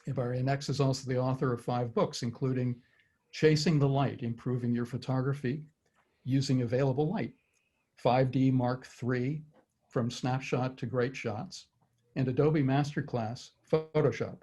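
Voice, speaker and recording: A man hosting an online forum, reading a guest's introduction in a steady, measured way over a video call. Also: mid-range voice, husky. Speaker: forum host.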